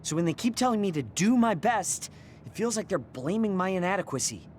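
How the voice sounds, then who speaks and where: A man's voice: high-pitched, very animated, brisk, slight background noise. An actor playing a proud, insecure young male soldier in a video game, irritable and defensive in manner. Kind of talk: English video game voice acting.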